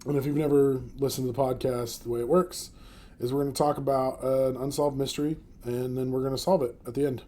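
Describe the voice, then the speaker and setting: A man's voice: mid-range voice, little pitch movement, a little fast, warm tone. A man hosting a casual comedy podcast, joking around with many fillers and frequent laughs. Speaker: podcast host.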